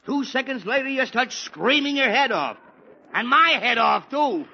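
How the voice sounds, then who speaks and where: A harried, blustering dad. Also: male voice, very high voice, animated, moderate pace, gravelly, pushed and bright, slightly rough, exaggerated voice. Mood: exasperated. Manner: exasperated, blustering. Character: harried middle-aged father with a headache. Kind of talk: 1940s radio acting. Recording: dull old 1940s radio broadcast.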